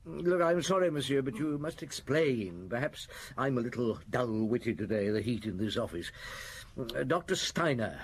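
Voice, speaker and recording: An actor playing a weary middle-aged police inspector in a radio drama, with a dry, grumbling but patient manner. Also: male voice, mid-range voice, expressive, normal speed, close to an everyday voice. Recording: noisy old radio drama recording.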